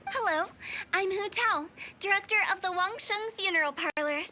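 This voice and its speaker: Anime game funeral director, young woman, very high pitch, theatrical, playful, chatty, eccentric. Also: moderate pace, bright, forceful tone, a little hiss or hum. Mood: chirpy, salesy.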